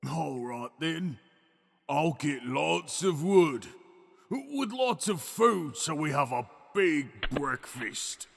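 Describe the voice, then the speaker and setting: Slow, gravelly, kindly troll. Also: male voice, on the high side, expressive, exaggerated voice. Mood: gentle, pleased. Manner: halting, mumbling to himself. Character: big simple kindly mountain troll, childlike and curious. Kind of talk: fantasy audio drama acting. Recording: long hall-like reverb.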